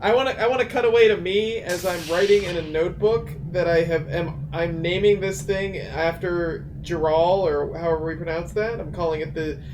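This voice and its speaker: A man's voice: high-pitched, normal speed, few pauses, noisy background. A man playing a tabletop game, joking playfully. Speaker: player.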